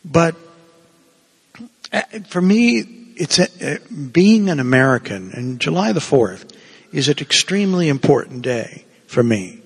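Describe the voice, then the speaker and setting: A man from Cuba preaching a homily in an unhurried, meandering way, with a gravelly, husky voice. His words ring out with a long echo through the church. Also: on the high side, very animated. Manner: conversational, digressive preaching with asides.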